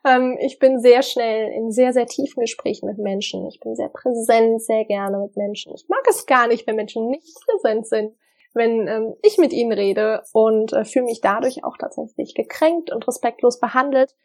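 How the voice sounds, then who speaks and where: A woman with a slightly high voice talks warmly and directly to her audience about relationships. She is earnest and reflective and often stops on little fillers before she goes on. It sounds like a casual video diary. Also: normal speed. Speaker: vlogger giving relationship advice. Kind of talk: casual direct-to-camera advice talk.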